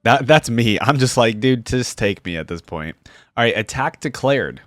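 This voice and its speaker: A man's voice: medium pitch, lively intonation, moderate pace, a bit bright, a little hiss or hum. A man hosting a reaction video, talking casually with informal, exclamatory asides.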